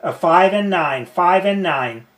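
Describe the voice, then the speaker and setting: Slow American ham operator, noisy. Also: male voice, high-pitched, animated. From United States. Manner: slow, repeats call sign in phonetics. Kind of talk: amateur radio contact.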